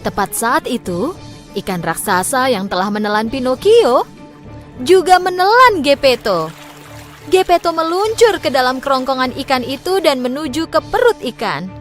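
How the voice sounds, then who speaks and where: A woman tells a children's fairy tale as a storyteller. Her voice is fairly high and very animated, with clearly phrased, expressive reading over light background music. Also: normal speed.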